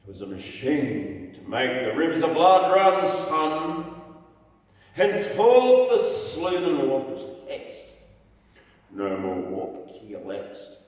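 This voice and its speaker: A man with a croaky voice, hosting an open mic and reciting his own poetry in a dramatic, chanting way with sudden loud rises. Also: a little high, sing-song, slow. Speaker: open mic host and poet. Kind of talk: performance poetry reading. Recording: echoey hall, mic far off.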